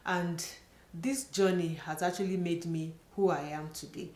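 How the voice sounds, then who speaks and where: A middle-aged Nigerian woman speaking in a slightly low voice as she tells her personal story to camera. She talks in an earnest, chatty way and often slips in "you know". The room around her rings with echo. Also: steady tone, a little slow, a little mellow. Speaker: vlogger. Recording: echoey room.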